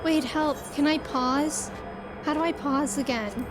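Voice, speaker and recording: A woman hosting a live game stream, chatting casually and reacting in an expressive, informal way. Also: sits high, unhurried. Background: video game music.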